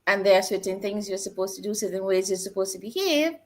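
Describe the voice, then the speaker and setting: A woman's voice: medium pitch, lively intonation, quick pace. A middle-aged woman from Trinidad and Tobago, a guest telling her life story in an earnest, reflective way. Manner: earnest, personal storytelling with repeated phrases. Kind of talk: reflective interview talk.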